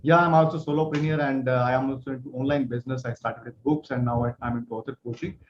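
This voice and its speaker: A man from India hosting an interview over a video call, enthusiastic and full of repeated praise, asking halting questions with fillers. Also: medium pitch, fairly even intonation. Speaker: interview host and author coach. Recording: dull lo-fi call audio with faint noise.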